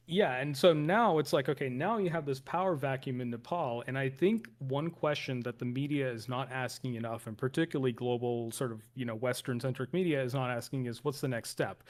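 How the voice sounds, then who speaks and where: A man from northern India talks through politics in a middle-range voice. He is a guest commentator on a livestream, explaining things casually. He often restates and corrects himself. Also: mid-range voice.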